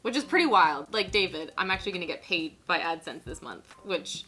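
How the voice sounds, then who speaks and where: A woman in her twenties hosting a casual comedic vlog, giggly, animated and self-mocking, sometimes mock-solemn as she reads aloud. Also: fairly low pitch, brisk, faint music behind, low-quality recording. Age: 20s. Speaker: vlog host reading own teenage writing. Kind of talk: casual comedic vlog chat with dramatic reading.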